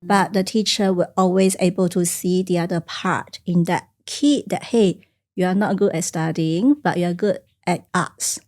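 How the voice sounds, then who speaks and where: A woman from China whose first language is Teochew, speaking softly and reflectively as a guest in a studio podcast interview. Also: on the low side, fairly even intonation, normal speed. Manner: soft-spoken and reflective, simple phrasing with small grammar slips. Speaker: guest, career coach. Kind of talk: podcast interview, personal storytelling.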